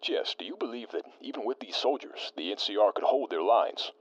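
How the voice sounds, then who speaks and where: A man's voice: fairly low pitch, big pitch swings, brisk, gravelly, dry recording, dull audio, full character voice. A man playing a stiff, formal tactician. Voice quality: formal, stilted diction. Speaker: radio co-host.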